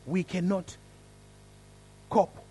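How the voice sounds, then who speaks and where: A man with an African accent leading a church service, praying and then telling a story in an earnest, lively way. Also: high-pitched, extremely slow, slightly rough. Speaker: preacher. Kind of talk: sermon with prayer and story.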